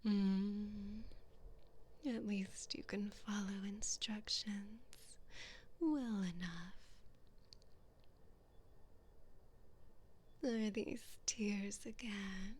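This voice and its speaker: Slow, whispering evil queen, acted by a woman. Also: medium pitch, steady tone, darkish tone. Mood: mocking, gloating. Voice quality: breathy, whispery. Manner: slow, condescending, commanding, possessive. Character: cruel, mocking evil queen toying with her captive. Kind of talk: whispered ASMR roleplay.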